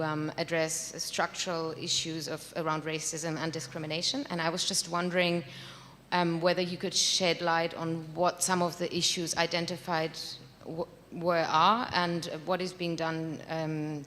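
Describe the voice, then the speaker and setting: Hesitant woman, flat low voice, hall. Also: moderate pace. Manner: hesitant, many fillers. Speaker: audience member asking a question. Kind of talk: question at a panel event. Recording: reverberant hall, faint room noise.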